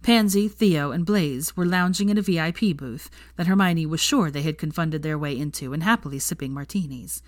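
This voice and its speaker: Low-voiced woman reading a story. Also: brisk, few pauses, no room sound, studio quality. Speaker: fan fiction reader. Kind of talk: scripted story narration.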